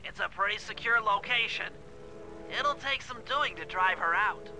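A man's voice: sits high, animated, moderate pace, pushed and bright, soft background music, heavily acted. An actor playing an earnest male sidekick who gives mission briefings in a game. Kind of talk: game dialogue.

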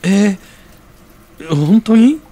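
An actor playing a modest, earnest young working man in an audio drama, speaking in a gentle, boyish manner. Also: high voice, lively intonation, drawn-out words, full character voice. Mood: shy, glad. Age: young adult.

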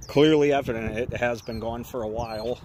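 A man vlogging casually as he walks around an empty building outdoors, chatty and quick to get indignant, with a phone camera's sound. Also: mid-range voice, fairly even intonation, a little mellow, slight background noise. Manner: chatty, ranting, easily indignant. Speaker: urban explorer vlogger. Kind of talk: casual walk-around narration.

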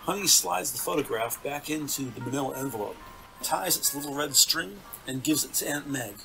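Male narrator, scripted crime-story read, medium pitch, slightly distant, instrumental music underneath. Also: expressive, moderate pace. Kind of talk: scripted present-tense detective story narration.

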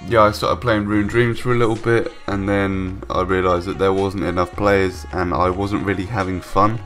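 Young male gaming vlogger, very low voice, stuffed-up from a cold, hesitant rambling, faint music behind. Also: fairly even intonation, normal speed, a bit bright. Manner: rambling, hesitant, many ums and restarts. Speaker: gaming YouTuber. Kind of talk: casual voice-over commentary. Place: home room, recorded separately from gameplay.

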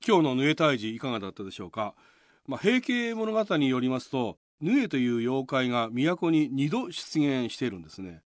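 A man's voice: fairly high pitch, no echo. A man presenting and explaining a tale in polite radio commentary style.

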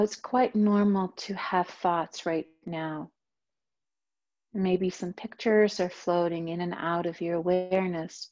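Female meditation teacher, low mellow voice, very slow soothing delivery, slightly muffled recording. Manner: slow, soft, soothing instruction. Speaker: yoga teacher. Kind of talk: guided meditation.

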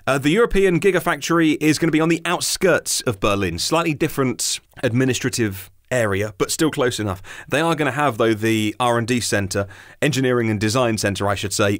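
A man's voice: medium pitch, no echo, studio quality. A man hosting a news podcast, reading items out and adding informal, chatty asides. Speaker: podcast host.

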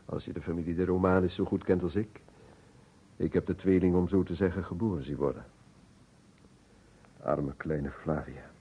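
An actor playing an elderly, courteous, talkative professor of surgery in a Dutch radio play, speaking deliberately. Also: male voice, low-pitched, steady tone, husky. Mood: musing, courteous. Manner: deliberate, reminiscing, searching for names. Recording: muffled old radio recording, narrow band.

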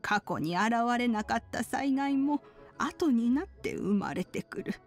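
A woman's voice: a little high, lively intonation, unhurried, faint music behind. A woman playing a wise, kindly elderly sage, telling legends in archaic, solemn speech for a Japanese video game. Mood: grave, measured.

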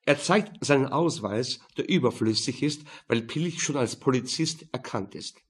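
A man's voice: medium pitch, expressive, a little slow, husky. A man reading a crime novel as scripted narration. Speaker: audiobook narrator.

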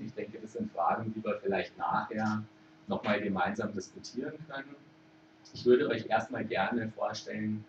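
A man from Germany giving an informal conference talk, thinking aloud and pausing often with hesitations. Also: lowish voice, a little hiss or hum. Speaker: conference speaker. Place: lecture room at a conference. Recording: echoey hall, distant mic, muffled.

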